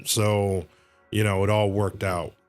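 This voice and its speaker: A man's voice: fairly low pitch, little pitch movement, slow, clear, resonant tone. A man giving casual, rambling livestream commentary on a game, often repeating himself. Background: faint game music.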